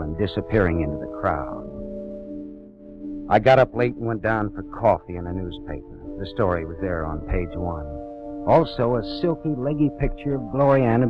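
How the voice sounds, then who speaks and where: A man's voice: on the low side, close to an everyday voice. An actor playing a hard-boiled male private detective who narrates in a terse, wry first person, 1940s radio acting. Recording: dull old radio recording with music.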